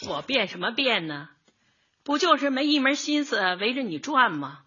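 A woman's voice: a little high, big pitch swings, pushed and bright, slightly rough, full character voice. An actress playing a proud, blunt older neighborhood woman in a radio comedy. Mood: hurt, fed up. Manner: blunt, colloquial, quick to retort. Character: feisty older neighborhood woman, square-dance leader, proud and easily wronged. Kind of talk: radio drama acting, light comedy. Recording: slightly muffled, dull audio.

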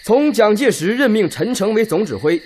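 A man playing a forceful, sceptical Red Army officer in a radio drama, with emphatic, declamatory delivery. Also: sits high, very animated, normal speed, slight background noise. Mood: urgent, doubtful. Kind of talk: Chinese radio drama acting.

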